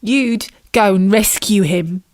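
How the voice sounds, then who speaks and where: A woman's voice: mid-range voice, slow, darkish tone, close to an everyday voice. A woman voicing a grumpy, rude male carp who talks in a scornful, taunting way.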